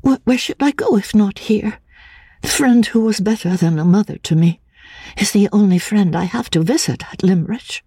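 A woman's voice: a little high, warm tone, breathy edge, no echo, studio quality, pitched above the performer's own voice. A woman voicing a frail, timid, childlike young woman in a classic audiobook, breathless and nervous. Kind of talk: dramatic audiobook reading of classic literature.

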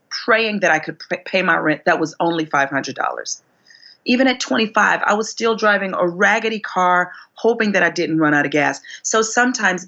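A woman in her forties, a singer being interviewed, telling her story expressively, her voice climbing high whenever she relives a moment. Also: sharp tone, muffled recording. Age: 40s. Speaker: guest singer.

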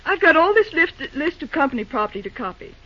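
Practical young female clerk, high and animated, unsympathetic, old radio serial. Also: fast. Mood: matter-of-fact. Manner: matter-of-fact, slightly sardonic. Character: practical young office girl, brisk and unsympathetic. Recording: dull old radio-show recording.

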